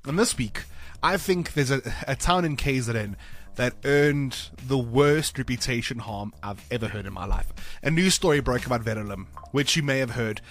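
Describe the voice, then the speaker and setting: Animated South African radio host. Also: male voice, mid-range voice, moderate pace, faint music behind. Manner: lively, expressive, laughs easily. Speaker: radio breakfast show host. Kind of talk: casual radio chat. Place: radio studio. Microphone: studio microphone.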